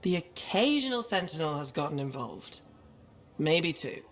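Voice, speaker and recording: An actress playing a brash, scrappy troublemaker in a video game, cocky yet sheepish, with a punchy delivery. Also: female voice, deep voice, big pitch swings, normal speed. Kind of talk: video game cutscene acting.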